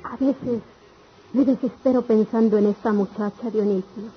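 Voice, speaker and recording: A woman's voice: on the high side, steady tone, normal speed, natural voice. A woman acting a weary, worried, compassionate mother figure in a radio melodrama. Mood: weary, pitying. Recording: dull lo-fi radio, faint noise.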